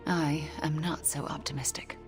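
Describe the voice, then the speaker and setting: A woman playing a guilt-ridden, determined young sorceress in a video game cutscene, speaking softly and earnestly with breathy phrase endings. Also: deep voice, little pitch movement, normal speed, over music, natural voice. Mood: reflective.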